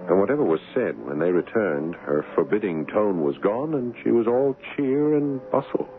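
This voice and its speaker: A man's voice: fairly low pitch, expressive, moderate pace, a little hiss or hum, close to an everyday voice. An actor playing an American writer husband in a 1970s radio drama, telling his tale in a dramatic, reflective way. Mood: reflective, wistful. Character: American writer husband recounting an ominous past, wistful. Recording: muffled old radio broadcast with music.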